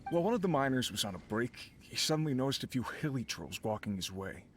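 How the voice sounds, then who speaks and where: An actor playing an easygoing but uneasy miner who leads a crew, speaking in an earnest, conversational, explanatory way as video game dialogue. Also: male voice, medium pitch, very animated, quick pace, lightly acted. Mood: uneasy, puzzled. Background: game background music.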